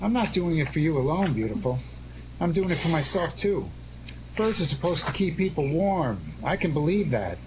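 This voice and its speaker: A cocky, wry detective on the run played by a man, with a lightish, higher male voice. He talks tough and breezy in old-time radio drama fashion, over clear background noise. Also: animated, moderate pace, lightly acted. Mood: confident, tough. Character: cocky, wisecracking male amateur sleuth on the run.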